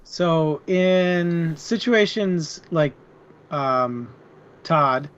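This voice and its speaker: A man's voice: a little high, drawn-out words, a bit bright, smooth, highs cut off. A man co-hosting a casual book discussion, speaking informally and hesitantly as he searches for words. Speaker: book club podcast co-host.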